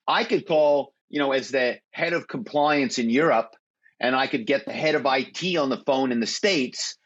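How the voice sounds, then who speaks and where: A man's voice: mid-range voice, fairly bright tone. A man, a guest in a conversational interview, explaining how phone tricks get people to give away information. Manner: explanatory, uses phone-call anecdotes. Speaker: guest, former corporate spy.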